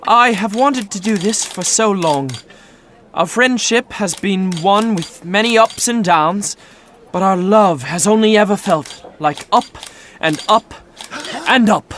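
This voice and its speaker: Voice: medium pitch, unhurried, fairly bright tone, slight background noise, close to an everyday voice. An actor playing a goofy, theatrical young prince with a playful, grandiose manner in a romantic comedy audio drama. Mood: grandly romantic.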